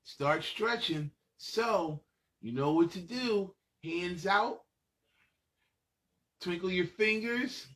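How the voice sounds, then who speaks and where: A man's voice: sits high, takes it slowly, long pauses, a bit bright. A man hosting a children's show, talking to kids in a playful, encouraging way with repetitive, sing-song phrasing. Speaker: children's storytime host. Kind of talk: children's show presenting, leading exercises.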